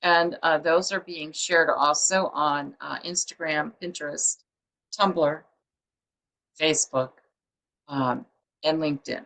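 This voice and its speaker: A woman's voice: low-pitched, fairly even intonation, slow pace, pauses often, fairly bright tone, poor audio. A woman publisher hosting a book show over a video call, chatty and rambling with many fillers. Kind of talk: casual interview chat.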